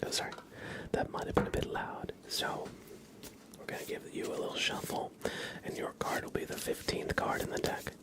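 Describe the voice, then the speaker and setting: A man hosting an ASMR livestream, speaking in a soft, breathy whisper with a gentle, informal way of chatting to viewers. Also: normal speed. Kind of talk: whispered ASMR with casual chat to live viewers.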